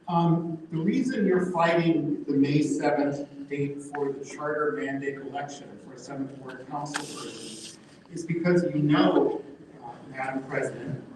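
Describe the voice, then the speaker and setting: A man giving a prepared, accusatory public comment to a city council, measured and pointed. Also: a little high. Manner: accusatory, measured, reading from notes. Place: council chamber. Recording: echoey distant hall recording, noisy.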